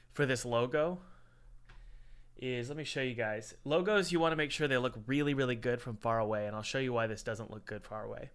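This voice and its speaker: A man's voice: mid-range voice, a bit bright. Stuffy-sounding man hosting a livestream. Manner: rambling, repeats himself, self-correcting. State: stuffy, sounds like a head cold. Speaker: livestream host reviewing indie games. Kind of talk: casual livestream chat with ad read. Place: home room, window open, cold.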